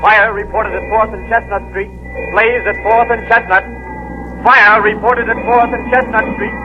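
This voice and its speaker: A man playing a shouting fire dispatcher. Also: sits high, flat delivery, normal speed, close to an everyday voice. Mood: urgent. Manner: shouted, urgent calls. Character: urgent male fire dispatcher and officer. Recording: muffled old radio broadcast. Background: crowd and music.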